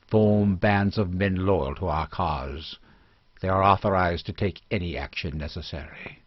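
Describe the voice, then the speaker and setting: A man voicing a cold, commanding usurper baron in a fantasy audiobook, speaking with slow grandeur. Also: low-pitched, no room sound. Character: cold, tyrannical usurping baron proclaiming purges. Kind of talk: dramatic fantasy audiobook oration. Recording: dull lo-fi recording.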